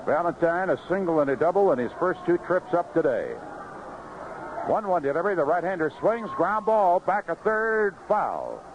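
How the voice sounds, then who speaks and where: A man calling baseball play-by-play on live radio in clipped phrases, pausing between pitches. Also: big pitch swings. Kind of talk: live radio sports commentary. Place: outdoor ballpark broadcast booth. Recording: noisy, muffled vintage 1970s radio broadcast.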